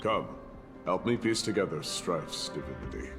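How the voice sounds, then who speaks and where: An actor playing a grave, stoic warrior in a video game, speaking in a measured, solemn and formal way. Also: male voice, low voice, very animated, vocal fry, exaggerated voice. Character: grave, stoic warrior ally, weary of a doomed world. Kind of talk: dramatic video game voice acting. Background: game music.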